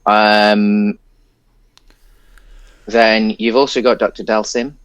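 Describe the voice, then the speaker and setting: A man with a fairly low voice talks casually as a podcast co-host. His delivery is hesitant and rambling as he works through his thoughts on a film, and the sound is very dry, with hardly any room on it. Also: little pitch movement, slow, smooth, no echo.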